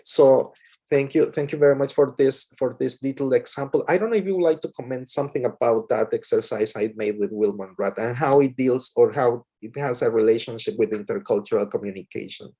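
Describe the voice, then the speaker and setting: A man's voice: medium pitch, fairly quick, darkish tone, breathy edge. A man from Mexico, a lecturer, talking with a colleague in a hesitant way full of fillers and restarts. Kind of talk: conversation with a colleague.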